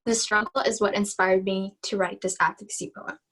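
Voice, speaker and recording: A young woman from the Philippines, a native Tagalog speaker, delivering a prepared student presentation and poem earnestly and deliberately over a video call. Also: medium pitch, steady tone, fairly bright tone, low-quality recording. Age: young adult.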